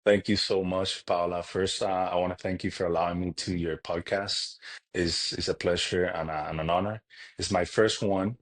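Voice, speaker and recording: Deep-voiced husky man from Cuba. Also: steady tone. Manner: earnest, halting with frequent restarts. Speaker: podcast guest. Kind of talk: casual interview talk.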